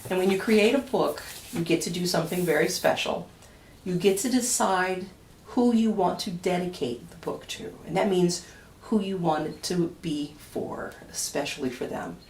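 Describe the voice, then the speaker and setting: A woman reading a children's picture book aloud expressively, with deliberate dramatic pauses and emphasis. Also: lowish voice, darkish tone. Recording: echoey room, distant microphone.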